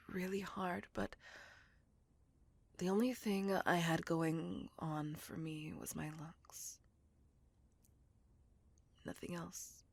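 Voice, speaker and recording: A woman playing a seductive, possessive, coldly vengeful vampire in a slow, intimate, breathy whispered ASMR roleplay. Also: low-pitched, no echo, exaggerated voice. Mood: wounded, bitter. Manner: slow, intimate, deliberate, savouring words.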